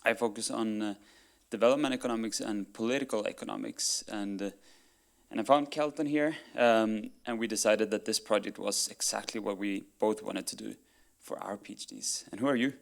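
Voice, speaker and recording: A young man presenting research to an audience in a relaxed, friendly way with hesitant fillers. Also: lowish voice, normal speed, creaky. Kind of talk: public presentation.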